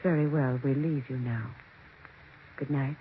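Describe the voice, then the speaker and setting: A woman's voice: a bass voice, steady tone. A woman playing a domineering, scheming mother who claims to speak with the dead, in stern American 1940s radio suspense acting. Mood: ominous, insistent. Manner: stern, commanding, deliberate diction. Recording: dull, noisy 1940s radio recording.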